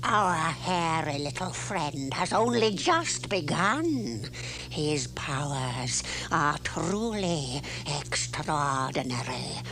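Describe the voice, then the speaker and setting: A scheming old alien witch-queen from a children's sci-fi show. She gloats with pompous menace, drawing words out very slowly with big swoops in pitch. The old TV soundtrack is noisy and hums. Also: big pitch swings, full character voice. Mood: gloating menace. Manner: pompous, menacing, drawn-out delivery. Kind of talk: theatrical children's puppet sci-fi series acting.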